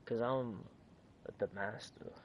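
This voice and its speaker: A man's voice: mid-range voice, steady tone, slow pace, muffled recording, background noise. A man giving casual, playful commentary as he streams a video game.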